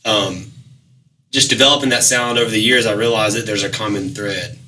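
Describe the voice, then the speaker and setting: A raspy-voiced young man talking casually as an interview guest, rambling with fillers and restarts. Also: on the low side, steady tone, fairly quick, pushed and bright, distant mic, poor audio. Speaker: musician guest.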